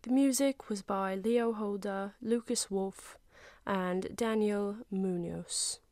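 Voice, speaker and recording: A woman's voice: mid-range voice, slow pace, dark tone. Woman reading drama credits. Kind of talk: scripted credits announcement.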